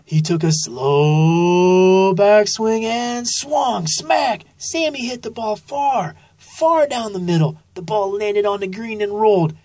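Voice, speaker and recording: A woman narrating a children's story expressively and deliberately, drawing out key phrases, recorded in a studio. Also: medium pitch, darkish tone, dry recording. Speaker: author reading own children's book.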